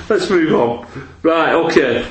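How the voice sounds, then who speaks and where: A man's voice: fairly high pitch, lively intonation, takes it slowly. A man hosting a casual football chat, rattling off stats and tag questions. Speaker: podcast host. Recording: echoey room, faint noise.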